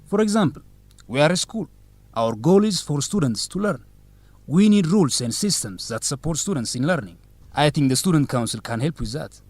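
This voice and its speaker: A man's voice: fairly high pitch, expressive, normal speed, a little mellow, no echo. A man playing an earnest, formal young student council president in a scripted educational radio drama. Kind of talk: scripted radio drama acting for English learners.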